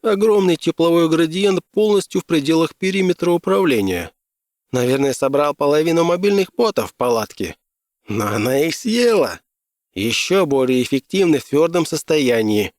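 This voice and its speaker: Man putting on an excitable, higher-voiced male tech engineer. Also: normal speed, no room sound, studio quality, lightly acted. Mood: agitated. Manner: animated, technical, enthusing. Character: excitable male tech engineer, eager, brainy, jargon-loving. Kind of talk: character voice in audiobook.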